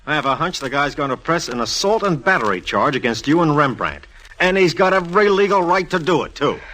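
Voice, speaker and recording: Sharp, hot-tempered radio cop. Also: male voice, a little high, big pitch swings, fairly quick, exaggerated voice. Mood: angry. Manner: barking, sarcastic, slangy. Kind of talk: 1940s radio detective acting. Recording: noisy old radio recording.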